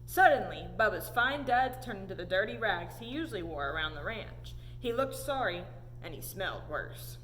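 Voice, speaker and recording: A woman reading a storybook aloud in an animated storytelling style. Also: mid-range voice, normal speed. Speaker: story time reader. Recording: echoey hall, audible background noise.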